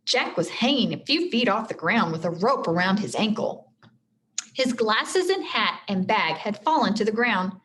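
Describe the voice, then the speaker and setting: A woman's voice: mid-range voice, lively intonation, moderate pace, a bit bright, slight background noise. A woman teacher reading a storybook aloud expressively, with simple explanatory asides for children.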